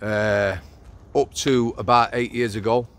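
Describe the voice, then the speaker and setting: A man's voice: lowish voice, fairly even intonation, unhurried. A middle-aged man puts blunt, prompting questions to his guest. He is recorded outdoors on a street at night through a handheld mic, with faint traffic in the background. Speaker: host interviewing a former prisoner. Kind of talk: walk-and-talk interview.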